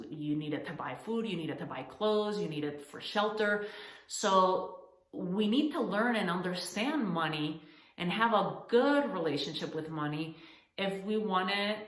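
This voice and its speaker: A woman's voice: on the low side, fairly bright tone. A woman from Spain, founder of a coaching channel, giving earnest, encouraging money advice straight to camera, often restarting her sentences. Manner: earnest, encouraging, addresses women as ladies; halting restarts and fillers. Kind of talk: direct-to-camera advice talk. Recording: echoey room.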